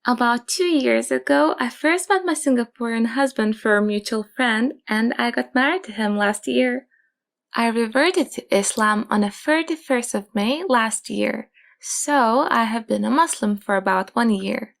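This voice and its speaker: A young woman, calmly telling her own story in a soft, measured way. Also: a little high, gravelly. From Japan. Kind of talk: calm personal testimony.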